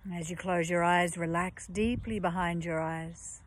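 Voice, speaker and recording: A woman yoga teacher guiding a relaxation session in a slow, soft, soothing voice with long pauses, at times breathy and close to a whisper. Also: fairly low pitch, steady tone. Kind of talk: guided yoga nidra relaxation.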